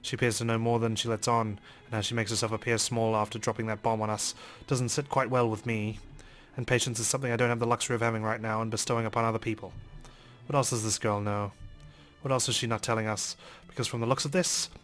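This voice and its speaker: Let's play host: man, lowish voice, very quick dramatic reading, faint music behind. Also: little pitch movement, fairly bright tone. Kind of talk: reading game narration aloud dramatically.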